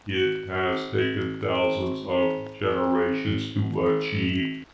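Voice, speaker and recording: A man voicing a calm, solemn robot soldier in slow, measured pronouncements, with a processed, echoing voice. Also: deep voice, pitched below the performer's own voice. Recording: dull, echoing, with loud music.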